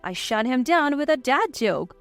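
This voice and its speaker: Animated high-pitched woman narrating a story. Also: moderate pace, no echo, faint music behind. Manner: animated, dramatic delivery. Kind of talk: scripted first-person drama narration.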